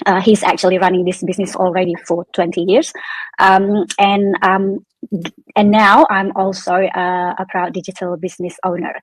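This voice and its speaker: A woman's voice: on the low side, little pitch movement, normal speed, dry recording, poor audio. A woman from Indonesia introducing herself as a guest on a video call, hesitant with frequent ums. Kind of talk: casual self-introduction.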